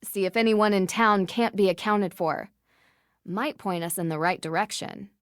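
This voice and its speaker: A woman's voice: mid-range voice, moderate pace, dry recording, clean studio sound, lightly acted. An actress playing an earnest, determined young female detective in a video game, explaining things methodically. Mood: focused. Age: young adult. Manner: clear-headed, methodical, explanatory.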